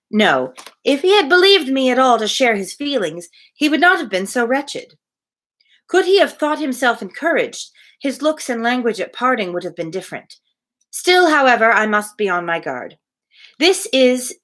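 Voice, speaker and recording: A woman voicing a self-assured young genteel woman from a novel, reasoning aloud in a measured, emphatic way. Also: on the high side, a bit bright. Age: young adult.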